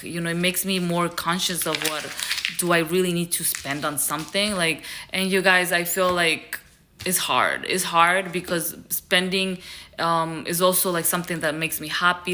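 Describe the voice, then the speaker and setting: Young woman vlogger from Ecuador, low but bright voice, chatty and earnest, full of fillers. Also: a little hiss or hum. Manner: rambling, many fillers, earnest advice. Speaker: YouTube creator. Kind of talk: casual vlog talk to camera.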